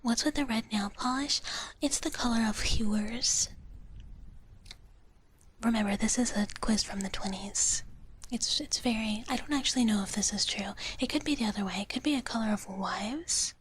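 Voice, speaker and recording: A woman streamer speaking in a soft, breathy whisper in a playful, casual live ASMR style, close to the microphone. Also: fairly high pitch, moderate pace. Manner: playful, speaks of herself in third person. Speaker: streamer performing as a dragon-girl character.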